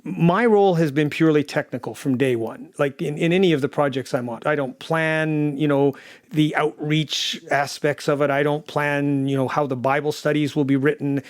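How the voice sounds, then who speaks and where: A man in his fifties or older giving a reflective interview, halting and repeating words as he thinks. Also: fairly high pitch, fairly even intonation, no room sound. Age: 50s or older. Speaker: technical producer and interview guest.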